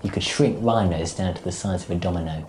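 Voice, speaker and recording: A man from Australia hosting a podcast, joking in a dry, mock-grumpy deadpan manner. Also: low voice, quick pace. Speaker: podcast host. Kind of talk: casual comedic chat. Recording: echoey room with background noise.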